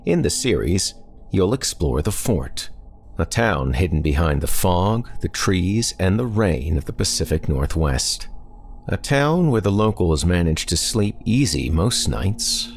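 A man hosting a horror podcast, reading a scripted introduction in a deliberate, theatrical and ominous way. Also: low voice, expressive, a little hiss or hum. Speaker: podcast host.

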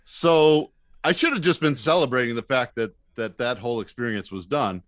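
Male podcast guest, American, medium-pitched, casual self-deprecating storyteller, recorded very dry. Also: normal speed, fairly bright tone, no echo.

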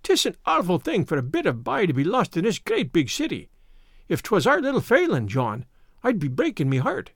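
A man's voice: high-pitched, huge pitch swings, moderate pace, gravelly, no room sound, clean studio sound, heavily acted. A man voicing a sentimental, quarrelsome middle-aged Irish immigrant wife with a lilting, plaintive brogue in a storybook reading. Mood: tender.

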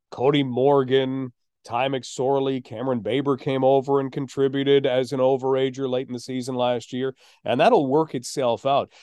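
A man's voice: medium pitch, darkish tone, clear, resonant tone, no echo, clean studio sound. A man hosting a sports talk podcast, analysing casually and emphatically, often asking and answering his own questions. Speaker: sports podcast host and play-by-play announcer.

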